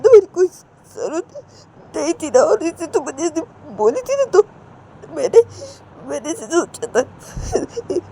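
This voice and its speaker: A woman's voice: warm tone, slight background noise, full character voice. A woman voicing a distraught young woman who cries and pleads through sobs. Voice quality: high strained sobbing voice. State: crying.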